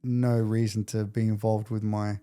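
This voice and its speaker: A man's voice: on the low side, level tone, normal speed, mellow, clean tone. An Australian man in his late forties chatting casually as an interview guest, candid and jokingly self-deprecating. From Adelaide, Australia. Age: late 40s. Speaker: podcast guest.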